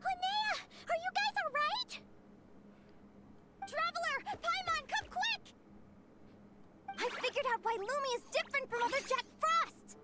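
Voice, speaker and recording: A woman voicing an excitable, cheery young female companion in an anime-style fantasy video game. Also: very high-pitched, measured pace, pauses often. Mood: eager. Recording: loud game music behind.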